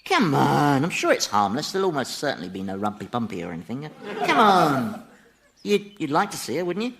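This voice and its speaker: An actor playing a sly, gleefully malicious Devil in a radio comedy, urbane and teasing, with a chuckling, mock-innocent manner. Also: male voice, medium pitch, big pitch swings, a little fast, creaky, fairly bright tone. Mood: slyly goading. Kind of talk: radio sitcom acting.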